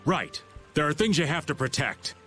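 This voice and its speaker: A man's voice: fairly high pitch, sing-song, moderate pace, a bit bright, faint music behind. An actor playing a wistful, world-weary middle-aged man who calls himself old, in a video game. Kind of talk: dramatic video game voice acting.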